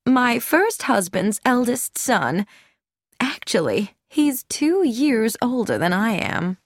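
A woman voicing a gentle, serene elderly lady who speaks softly and musically, as a character in an audiobook. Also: on the high side, sing-song, slow pace, no echo, clean studio sound, voice pushed up, exaggerated voice. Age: older. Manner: soft, musical and untroubled.

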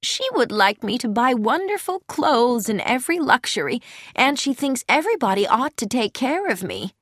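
A woman lifts her voice into a sweet, untroubled elderly lady with a soft and musical way of speaking. She sounds higher than the narration and lilts freely up and down. The recording is close and dry. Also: big pitch swings, normal speed, no room sound. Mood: gentle. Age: older. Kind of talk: audiobook character voice.

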